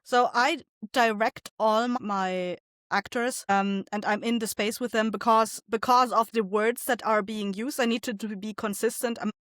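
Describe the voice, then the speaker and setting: A woman speaking as an interview guest, explaining things informally and a little haltingly as she thinks. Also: a little high, fairly even intonation, dry recording, clean studio sound. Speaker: podcast guest, audio drama writer, director and voice actor. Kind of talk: casual interview conversation.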